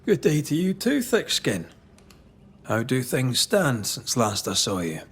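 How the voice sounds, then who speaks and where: An actor playing a blunt, steady ghost hunter in colonial America, wry and direct in old-fashioned phrasing. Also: male voice, mid-range voice, measured pace, husky, exaggerated voice. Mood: wry, testy. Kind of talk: dramatic game dialogue.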